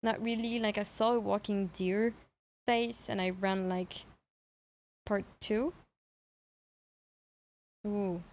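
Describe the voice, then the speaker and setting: A woman's voice: medium pitch, fairly even intonation, slow, long pauses, a little mellow. A woman chatting casually on a livestream, hesitant and thinking aloud as she repeats herself. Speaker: streamer reacting to horror videos.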